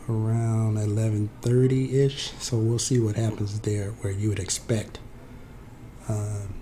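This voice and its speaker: A man with a cold-roughened, hoarse voice explaining an options trade in a halting way, full of fillers and restarts. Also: fairly low pitch, noisy background. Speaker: trading tutorial presenter. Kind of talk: unscripted screen-share explanation.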